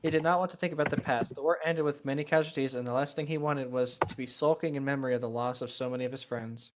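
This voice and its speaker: A medium-pitched voice hosting a comedic reading show. The delivery is casual and playful, full of quips tossed in between stretches of reading aloud. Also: fairly even intonation, fast talker, background noise. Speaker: host of a bad fan fiction reading show.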